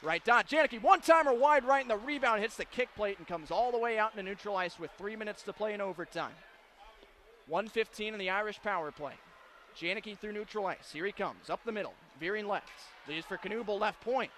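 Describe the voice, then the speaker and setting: A man calling live hockey play-by-play on the radio in an excitable manner, ready to build into shouted calls. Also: high-pitched, a little fast. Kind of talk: live sports radio commentary. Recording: live broadcast with clear background noise.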